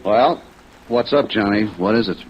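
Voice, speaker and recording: An actor with an American accent playing a seasoned, skeptical harbor police inspector in a 1950s radio drama, earnest and ruminative. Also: male voice, on the low side, moderate pace, lightly acted. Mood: businesslike. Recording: old muffled noisy radio recording, rain overlay.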